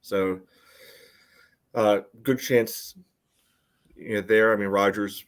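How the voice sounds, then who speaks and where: A man's voice: lowish voice, fairly even intonation, slow. A man co-hosting a sports podcast, giving casual analysis in a loose, hedging, conversational way. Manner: hedging, peppers talk with "you know". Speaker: podcast co-host.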